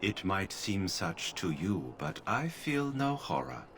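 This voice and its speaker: An actor playing an emotionless male mage from a video game, who speaks in a calm, formal, flat way. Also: deep voice, takes it slowly, slight background noise, full character voice. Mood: detached. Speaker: game character.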